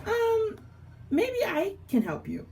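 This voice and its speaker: A woman's voice: very high-pitched, wildly swooping pitch, slow, dark tone, recorded from a distance, slight background noise, pitched above the performer's own voice, full character voice. A woman voicing a small, sensible, helpful rabbit-like creature in a picture book read-aloud.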